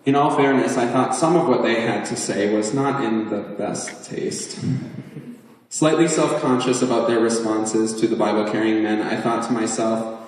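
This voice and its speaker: A man's voice: fairly low pitch, steady tone, normal speed, slight background noise. A man giving a live reading from his memoir as its author, in articulate, literary phrasing with a wry touch. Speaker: author reading at a book event. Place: chapel, indoors, at a live book release. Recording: echoey chapel, picked up from far off.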